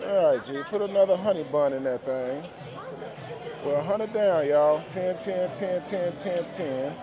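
A man's voice: on the high side, a little slow. A man giving casual, slangy play-by-play to viewers as he gambles, often repeating numbers and phrases. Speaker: gambling vlogger. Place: busy indoor casino floor. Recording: busy casino floor, music, crowd chatter. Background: music and crowd chatter.

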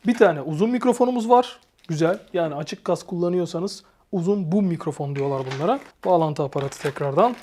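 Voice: medium pitch, a little mellow, a little hiss or hum. A reviewer giving a casual, explanatory product review and addressing viewers informally. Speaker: motorcycle gear reviewer and channel host.

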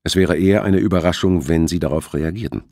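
A man's voice: low-pitched, quick pace, mellow, dry recording, studio quality. A man voicing a tired, worried man who speaks with weary control in an audiobook. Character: tired, worried man following a kidnapping operation.

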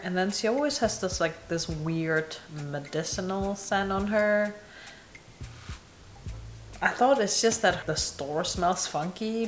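A young woman from Indonesia reviewing perfumes in casual, candid chat, laughing at herself, filmed in a small bright room. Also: on the low side, echoey room. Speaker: beauty vlogger.

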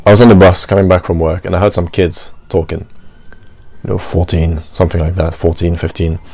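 A man's voice: low voice, little pitch movement, brisk, gravelly, darkish tone, no echo. A man from France with a French accent, hosting a solo podcast and thinking aloud, often adding "you know" and "right". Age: adult, works at a restaurant. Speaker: podcast host. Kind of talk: reflective solo monologue.

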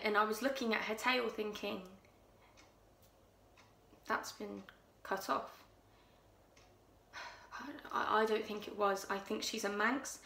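An unsettling woman confides a dark tale in hushed, intimate tones, as a young actress performs her. Her voice is bright and gravelly. It carries a roomy echo. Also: medium pitch. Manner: hushed, intimate storytelling, drops to whispers. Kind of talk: contemporary audition monologue. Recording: echoey room.

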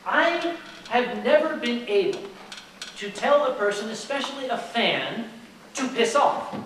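A man telling a comic story to a live audience in a large lecture hall and acting out the voices in it, heard through a handheld mic from far off. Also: very high-pitched. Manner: animated, acts out the voices in his story. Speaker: convention storyteller. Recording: echoey hall from far off, noisy.

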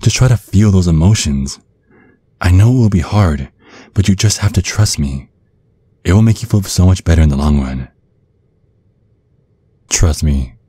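A man playing a tender, protective vampire boyfriend in an ASMR roleplay, speaking in a soothing, coaxing, affectionate way with a husky voice. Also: deep voice, lively intonation, normal speed, long pauses, dark tone, no room sound. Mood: gently reassuring. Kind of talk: ASMR roleplay, intimate soft talk.

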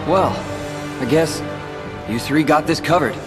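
A man's voice: on the high side, a little slow, over loud music, natural voice. A young man playing a cool, determined teenage hero in a video game, earnest in delivery. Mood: calm. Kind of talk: video game cutscene acting.

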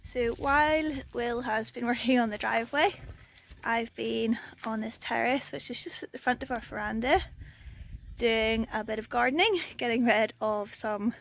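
Higher-pitched woman, chatty homestead vlogger. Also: moderate pace, gravelly, a bit bright, a little hiss or hum. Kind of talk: casual homestead vlog to viewers.

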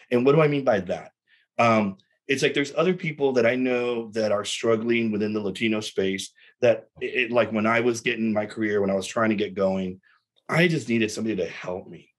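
A man in his forties, from South Texas, speaking in an easygoing, rambling way on a video-call panel. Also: medium pitch. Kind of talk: casual panel discussion. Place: home room, indoors.

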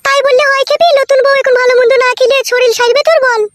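A woman voicing a bossy, fussing, sharp-tongued young village wife in a comedy cartoon, with a rural West Bengal accent. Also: extremely high pitch, fairly even intonation, quick pace. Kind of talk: comic cartoon dialogue.

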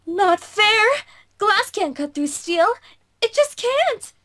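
A bratty young girl sorceress played by a woman in an anime-style visual novel. Her voice is high and theatrical, commanding and vicious, rising into shrill outbursts. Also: sing-song, slow, fairly bright tone, exaggerated voice. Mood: distressed whining.

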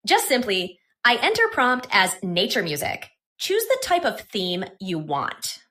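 A woman presenting software in a scripted tutorial narration. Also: mid-range voice, wildly swooping pitch, a little slow.

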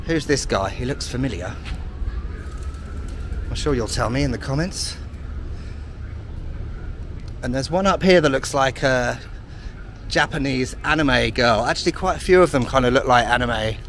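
A man's voice: medium pitch, normal speed, pauses often, a bit bright. A man from the UK giving casual, wry commentary as he walks outdoors through city streets. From United Kingdom. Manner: chatty, wry, self-deprecating asides. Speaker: travel vlogger. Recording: faint outdoor street noise. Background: faint street and traffic noise.

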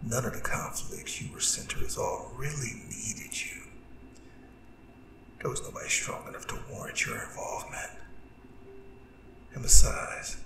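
A man voicing a seductive, gloating Demon King in an ASMR roleplay, breathy and mostly whispered, taunting and intimate with theatrical drawn-out phrasing. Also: medium pitch, lively intonation, noisy background. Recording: roomy distant echo, music underneath. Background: music.

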